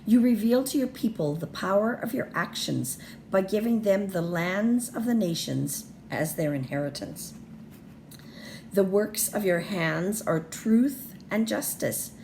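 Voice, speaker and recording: A woman reading a psalm aloud in a measured, liturgical style. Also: mid-range voice, darkish tone, slight background noise, rough recording. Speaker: scripture reader.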